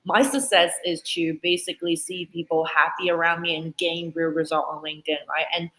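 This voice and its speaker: A woman's voice: low-pitched, little pitch movement, a little fast, sharp tone, rough recording. A woman guest in an interview, speaking in an encouraging, reflective way. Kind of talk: interview conversation.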